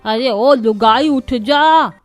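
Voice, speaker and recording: Woman putting on a loud, bossy old grandmother. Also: a little high, soft background music. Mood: impatient scolding. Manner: drawn-out scolding calls. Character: bossy old grandmother-in-law, nagging village matriarch.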